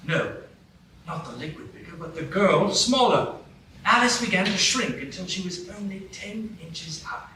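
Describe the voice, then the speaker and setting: A man's voice: sits high, animated, normal speed, bright, forceful tone. A man narrating a fairy tale in a live radio play, in a wry storytelling way. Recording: very echoey room, mic far off, background noise.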